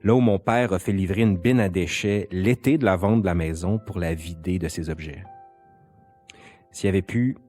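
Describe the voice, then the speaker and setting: A man's voice: low-pitched, moderate pace, a little mellow. A man with a Quebec French accent, reading an intimate, scripted autobiographical narration. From Quebec, Canada. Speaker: narrator and interviewer. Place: sound studio. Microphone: quality studio microphone. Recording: music behind the voice. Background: music.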